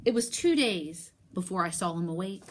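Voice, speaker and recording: A woman teacher reading a picture book aloud and talking to young pupils, expressive, with emphatic pauses. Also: fairly low pitch, a little hiss or hum.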